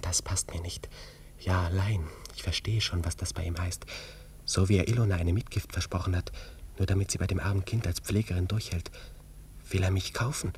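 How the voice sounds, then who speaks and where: An actor playing a proud but self-doubting young cavalry officer in a German radio drama, brooding and questioning himself. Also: male voice, sits low, fairly even intonation, fairly quick, darkish tone, distant mic, slight background noise, close to an everyday voice. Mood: brooding, agitated.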